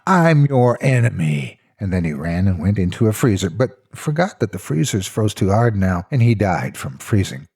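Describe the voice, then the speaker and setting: A man tells a scripted story with theatrical, mock-serious delivery. His voice sits fairly low with a husky, rough grain, and it rises and falls in big animated sweeps. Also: moderate pace, few pauses. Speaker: narrator of horror stories.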